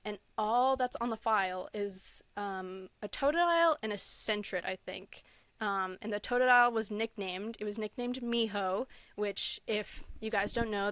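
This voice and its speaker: Young woman, dry close sound, casual storytelling, gushing enthusiasm, medium pitch. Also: fairly bright tone, clean tone, no room sound. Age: young adult. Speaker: podcast guest, content creator. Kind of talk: casual chat, personal storytelling.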